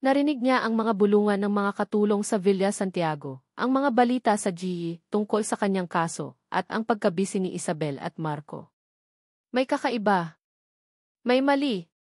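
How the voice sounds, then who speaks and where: A woman's voice: medium pitch, lively intonation, normal speed, darkish tone, no room sound. Woman narrating a story, very dry sound. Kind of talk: scripted dramatic story narration, reading dialogue herself.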